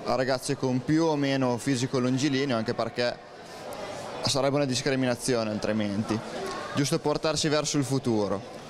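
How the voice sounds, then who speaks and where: Calm man in his thirties on the street. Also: mid-range voice, normal speed, a crowd in the background. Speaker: passer-by interviewed in the street. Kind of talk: street interview. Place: outdoors under a city arcade. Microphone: handheld mic held toward him.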